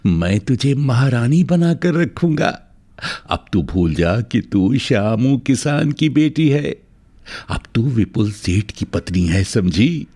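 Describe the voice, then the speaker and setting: A man's voice: mid-range voice, expressive, slow pace, mellow, voice pushed up. A man voicing a rich young bridegroom in a cartoon story, doting and possessive, speaking fervently and emphatically. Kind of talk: melodramatic cartoon story acting.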